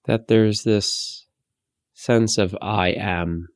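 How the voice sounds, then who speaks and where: A man leading a guided meditation in a gentle, unhurried way, leaving long pauses between phrases. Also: lowish voice, fairly even intonation, no echo, clean studio sound. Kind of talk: guided meditation, soothing instruction.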